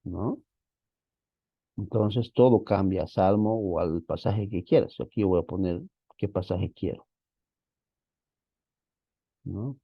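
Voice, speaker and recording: Low, gravelly man teaching casually. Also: takes it slowly, long pauses, dry recording, highs cut off. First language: Spanish. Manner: casual, thinking aloud, frequent "¿no?" tags. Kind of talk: screen-share software walkthrough.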